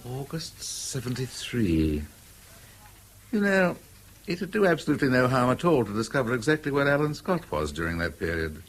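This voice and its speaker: Gruff British police boss, very animated. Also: male voice, medium pitch, low-quality recording. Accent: British English. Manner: brusque, commanding, musing aloud. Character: gruff, domineering senior police detective, a man. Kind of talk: British radio drama acting.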